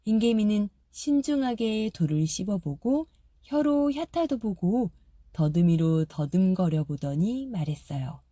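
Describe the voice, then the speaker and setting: A woman narrating a fairy-tale audiobook in a soft, gentle storytelling manner. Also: medium pitch, expressive, unhurried, no echo, clean studio sound.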